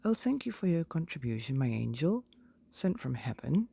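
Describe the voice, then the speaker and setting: A man's voice: a little high, very animated, moderate pace, creaky, warm tone, breathy edge, heavily acted. A man voicing a timid, mild and polite father who is trying to sound brave, hesitant and meek. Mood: weakly sarcastic.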